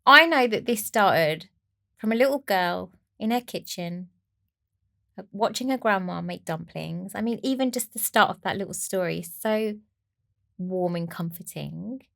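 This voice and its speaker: A woman's voice: mid-range voice, a little slow, gravelly, a bit bright. A woman hosting an interview podcast, effusive and emphatic, drawing out key words. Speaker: podcast host. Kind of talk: interview podcast with scripted intro.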